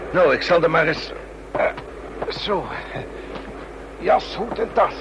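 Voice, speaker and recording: A man's voice: lively intonation, takes it slowly, muffled recording. A nervous, stammering travelling salesman. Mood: flustered. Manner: hesitant, stammering, flustered. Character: nervous, evasive travelling salesman hiding something. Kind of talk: Dutch radio drama acting. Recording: noisy radio play with vehicle rumble.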